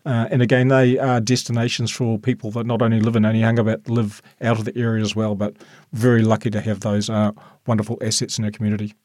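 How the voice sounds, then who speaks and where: A middle-aged man with a New Zealand accent, a local board member giving earnest, halting answers in a podcast interview. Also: on the low side, flat delivery, darkish tone, dry recording, clean studio sound.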